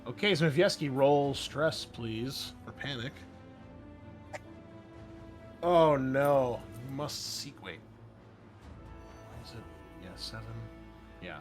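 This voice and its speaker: A man's voice: medium pitch, expressive, takes it slowly. A man running a tabletop roleplaying game, talking casually and thinking aloud as he works through the rules. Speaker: game master. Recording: music behind. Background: music.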